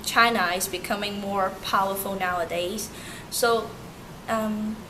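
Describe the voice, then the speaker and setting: Slow, halting young woman from Turkey. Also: mid-range voice. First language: Turkish. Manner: halting, many fillers and restarts. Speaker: interviewee. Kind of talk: hesitant non-native English interview answers. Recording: noisy amateur recording.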